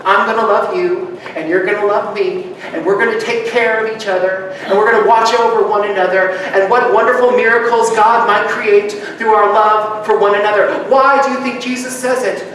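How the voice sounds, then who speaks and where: A man preaching a sermon as pastor, moving between loud rousing calls and soft hushed reflection, joking easily with his congregation. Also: high-pitched, fairly even intonation, moderate pace, few pauses. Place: church sanctuary. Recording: distant mic, strong room echo, background noise.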